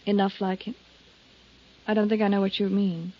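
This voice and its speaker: An actress playing a guarded, evasive widow with measured politeness in a 1950s radio drama. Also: female voice, medium pitch, flat delivery, no room sound, lightly acted. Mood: defensive. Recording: dull, hissy 1950s radio recording.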